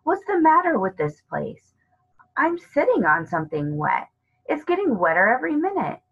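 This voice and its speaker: A woman's voice: high voice, wildly swooping pitch, normal speed, a bit bright, slightly rough, highs cut off, pitched above the performer's own voice, full character voice. A woman voicing a hearty, old-fashioned eldest schoolboy with an eager streak in a storybook reading. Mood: excited. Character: decent, bossy eldest schoolboy brother, eager adventurer.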